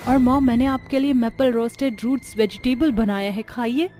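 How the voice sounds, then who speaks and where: Fussy Westernised young daughter-in-law played by a woman, high voice, drawling English mixed in, story drama. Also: mellow, soft background music, natural voice. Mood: eager. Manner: switches into English, drawn-out.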